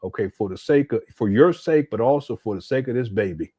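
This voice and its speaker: A man's voice: medium pitch, expressive, a little fast, warm tone, husky. A man giving casual reaction commentary on a clip, exasperated and laughing, with slangy asides.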